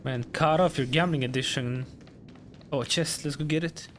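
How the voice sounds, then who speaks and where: A man's voice: fairly high pitch, moderate pace, a little hiss or hum. A man streaming a game with casual commentary, muttering questions to himself as he plays. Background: game music.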